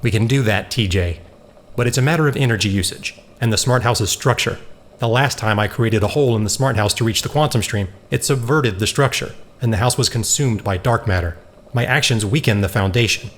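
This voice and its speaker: An actor playing a serious, methodical scientist in an audio drama, explaining technical matters in a measured way. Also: male voice, on the low side, a little hiss or hum, natural voice. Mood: serious, explanatory. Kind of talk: scripted audio drama acting.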